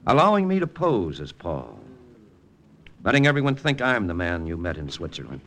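A man's voice: low voice, wildly swooping pitch, moderate pace, fairly bright tone, full character voice. An actor playing a cool, persuasive American wartime lieutenant with an American accent, telling his story in hardboiled 1940s radio drama style. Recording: old radio broadcast with music.

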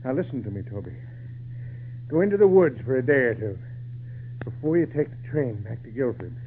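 A man's voice: mid-range voice, expressive, a little fast, close to an everyday voice. A man playing a kindly, fatherly old circus man, loyal and protective, in an old-time radio drama. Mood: tender, solemn. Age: older. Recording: tinny, hissy 1940s radio recording.

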